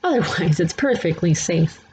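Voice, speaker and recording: Breezy, matter-of-fact mom, read by a female narrator, mellow, calmly reassuring, storybook. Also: lowish voice, normal speed, slight background noise, close to an everyday voice. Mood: breezily reassuring. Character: matter-of-fact mother excusing her biting daughter.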